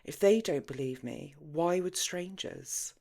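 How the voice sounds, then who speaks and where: Audiobook role: breezy, headstrong wife, voiced by a female reader, brisk and emphatic. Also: sits low, gravelly, mellow, heavily acted. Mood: resigned. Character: headstrong, breezy married woman seeking fun.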